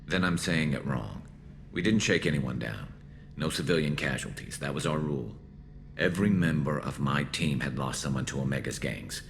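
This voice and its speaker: A man voicing a battle-scarred alien soldier in a video game, loyal and dryly wry, with a gravelly voice. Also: moderate pace, slight background noise. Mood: grim, proud. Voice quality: gravelly, very deep. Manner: dry, wry, clipped. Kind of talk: video game dramatic acting.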